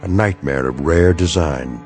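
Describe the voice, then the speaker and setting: An actor playing the ominous, deliberate narrator of an eerie radio anthology drama, a man with a portentous delivery. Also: rumbling low, darkish tone. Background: music.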